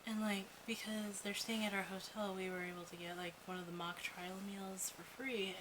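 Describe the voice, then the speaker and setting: A young woman vlogging in a casual, chatty way, rambling with lots of "like" and "you know what?". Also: medium pitch. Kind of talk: casual video diary chat. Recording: noticeable background noise.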